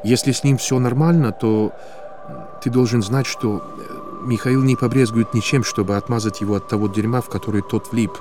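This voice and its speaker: A composed, practical boss in an audiobook drama, played by a male narrator. He speaks tersely and with control in a mid-range male voice. Music plays softly underneath. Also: medium pitch, steady tone, moderate pace, lightly acted. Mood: calm, guarded.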